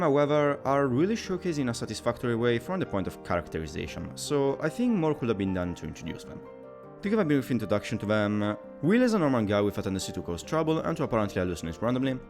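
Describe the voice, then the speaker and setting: A man narrating a scripted video game review as the host of his own channel. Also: mid-range voice.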